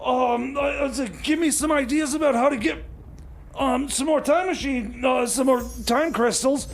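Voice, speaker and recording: A man's voice: very high voice, normal speed. A man playing a flustered, scatterbrained time machine mechanic in radio comedy, stammering, rambling and trailing off. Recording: clear background noise.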